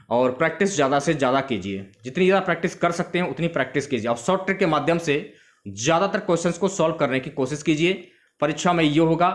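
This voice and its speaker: Higher-pitched male exam coach. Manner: repeats key facts for emphasis, addresses students directly. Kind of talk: instructional exam question review.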